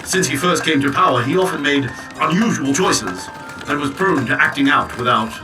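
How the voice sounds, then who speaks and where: A man playing a loyal clockwork servant who tells a story in a formal, measured, precise way in an audio drama. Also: on the high side, lively intonation, distant mic, music in the background, lightly acted. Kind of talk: full-cast audio drama narration.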